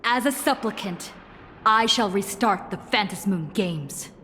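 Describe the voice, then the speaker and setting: A woman playing a resolute, solemn young supplicant in a video game, earnest and deliberate, with reverb and faint ambience. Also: medium pitch, animated, pushed and bright, slight background noise. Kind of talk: scripted video game voice acting.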